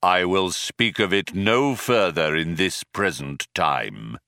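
A man voicing a grand, all-knowing supercomputer in a story, with solemn, drawn-out delivery. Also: sits low, pushed and bright, clean tone, dry recording, clean studio sound, exaggerated voice.

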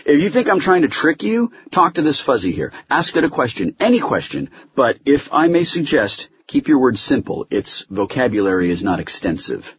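Man playing a defiant, quick-witted courtroom arguer. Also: medium pitch, wildly swooping pitch, fairly bright tone, husky, highs cut off, pitched above the performer's own voice, exaggerated voice. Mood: urgent, persuasive. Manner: insistent, articulate, wry. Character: defiant, quick-witted man arguing his case, persuasive showboat.

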